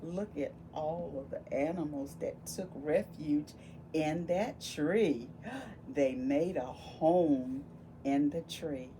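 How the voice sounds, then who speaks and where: Lady doing storytime for little kids, unhurried and very expressive, mellow voice, some noise behind her. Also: low-pitched. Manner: animated, exclaiming with gasps and wonder, addressing young children. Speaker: volunteer children's read-aloud storyteller. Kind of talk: expressive picture-book read-aloud with questions to children.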